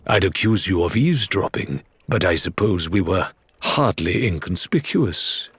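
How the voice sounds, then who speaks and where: A man voicing a suave, courteous, teasing male pirate with unhurried, theatrical phrasing in an audiobook. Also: low voice, expressive, fairly bright tone, husky, heavily acted. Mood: mildly courteous. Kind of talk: audiobook character acting.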